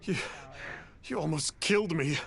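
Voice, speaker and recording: A man's voice: a little high, a little slow, fairly bright tone. A man playing a pompous, cowardly fraud in a video game, speaking in archaic, theatrical phrases and calling himself "one". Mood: terrified pleading. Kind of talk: animated video game voice acting. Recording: game music clearly behind.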